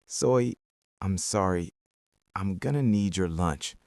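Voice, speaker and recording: A man's voice: low-pitched, gravelly, no room sound, clean studio sound, pitched below the performer's own voice, heavily acted. A man voicing an earnest, anxious schoolboy who tries to stay discreet, speaking with emphatic urgency in a children's audiobook. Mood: apologetic. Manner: slow, emphatic, hushed urgency.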